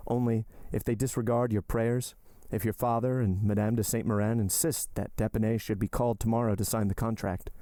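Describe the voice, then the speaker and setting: A sorrowful but resolute young lover who speaks earnestly and solemnly, in a deep, dark, mellow voice, as an audiobook reader voices him. The sound is close and dry. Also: male voice, flat delivery, brisk, few pauses, no room sound, natural voice. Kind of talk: audiobook character voice.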